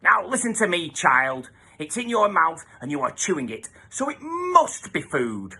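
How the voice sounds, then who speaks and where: A man's voice: sits high, huge pitch swings, a little slow, vocal fry, bright, forceful tone, breathy edge, pitched above the performer's own voice, heavily acted. A man voicing an imperious, vengeful dinner lady, a scornful villain in a children's storybook. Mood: bossy, insistent. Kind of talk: storybook character voice.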